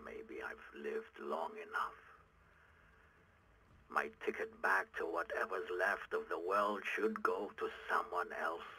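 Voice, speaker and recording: An actor playing a weary, driven middle-aged revolutionary leader in a video game audio log, with a breathy, gritty half-whisper and halting, brooding delivery. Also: male voice, very deep voice, bright, forceful tone, a little hiss or hum, heavily acted, low-quality recording. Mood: wistful, weary. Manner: brooding, deliberate, halting monologue.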